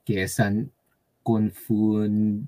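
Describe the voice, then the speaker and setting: A man from Taiwan with a Taiwanese accent, a guest speaking Spanish as a learner, halting with many fillers and restarts. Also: lowish voice, flat delivery, mellow, no echo. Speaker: interview guest. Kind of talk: casual conversation.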